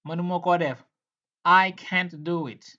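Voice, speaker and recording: A man hosting a casual language lesson, chatting loosely with fillers and then repeating example phrases slowly and loudly. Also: sits high, steady tone. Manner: slow, drawn-out, louder repetitions of example phrases. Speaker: language teacher and channel host. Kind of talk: casual language lesson, mixing English, Wolof and French. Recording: dull, lo-fi sound.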